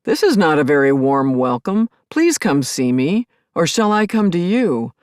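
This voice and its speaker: A woman voicing a dead husband come back, calm and gently teasing, within an audio play monologue. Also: sits low, unhurried, dry recording, studio quality. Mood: gently reproachful.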